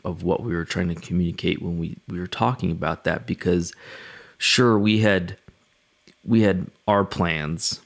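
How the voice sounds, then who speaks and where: A man speaking as a pastor in a casual, halting and reflective devotional style, telling personal stories in a conversational way. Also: deep voice.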